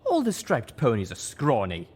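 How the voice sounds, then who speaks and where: A man's voice: huge pitch swings, moderate pace, a little mellow. A man voicing a group of dazed, mind-controlled alicorn mares who whine and bicker with each other. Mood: whiny, bickering. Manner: high, whiny and bickering.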